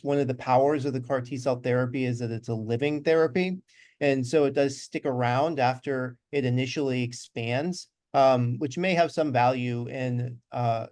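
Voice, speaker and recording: A man in his thirties, a physician guest on a video call, explaining in a measured way with some fillers. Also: medium pitch, darkish tone, dry recording, studio quality. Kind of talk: interview.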